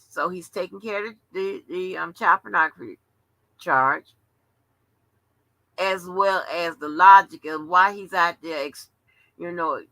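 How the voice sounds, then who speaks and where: A woman's voice: lowish voice, sharp tone, no room sound, poor audio. Chatty woman in her seventies. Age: 70s. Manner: chatty, rambling, salty slang. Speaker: livestream host. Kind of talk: casual late-night commentary.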